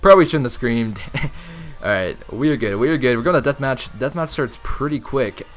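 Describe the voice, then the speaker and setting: A young man giving casual, excitable commentary over his own gameplay, talking straight to his viewers, his voice strained from shouting. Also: mid-range voice, moderate pace, soft background music. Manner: chatty, excitable, talks to viewers. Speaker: gaming YouTuber.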